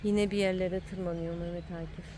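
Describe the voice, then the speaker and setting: A woman's voice: lowish voice, flat delivery, moderate pace. A woman giving casual, playful running commentary outdoors, encouraging and teasing a child at play. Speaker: adult filming and cheering on a child at play. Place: outdoor playground. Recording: noisy outdoor home-video recording.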